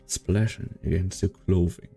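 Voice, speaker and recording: A man playing a grave, husky-voiced part and narrating in a fan-dubbed game drama. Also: low voice, steady tone, a little slow, warm tone, faint music behind, heavily acted. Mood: grave, steady.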